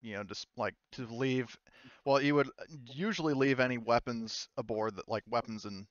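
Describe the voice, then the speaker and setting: A man acting as game master, improvising tabletop narration in a casual, halting, rambling way. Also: medium pitch, dry recording, muffled recording.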